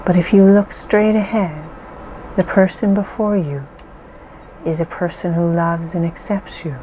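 Woman, guided-meditation guide: very slow, mellow, reassuring, faint hiss behind. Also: fairly low pitch, steady tone, low-quality recording. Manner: soothing, reassuring, deliberate pauses.

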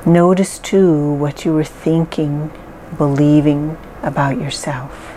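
A woman leading a guided mindfulness exercise, speaking calmly and softly with long pauses. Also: deep voice, a little hiss or hum, poor audio. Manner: slow, calm, soft-spoken guidance with pauses. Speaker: therapist guiding an exercise.

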